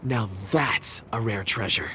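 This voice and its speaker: A man's voice: on the low side, unhurried, background noise, lightly acted. A man voicing a genial, eager young rock expert in a video game, polite and flowery in his speech. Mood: admiring, rapt. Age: young adult.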